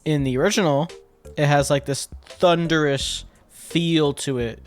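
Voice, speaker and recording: A man's voice: fairly high pitch, fairly even intonation, a little slow. A man giving a casual, step-by-step commentary as a video editor breaking down his sound design work. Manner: informal, explains step by step. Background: music tracks and sound effects being demonstrated.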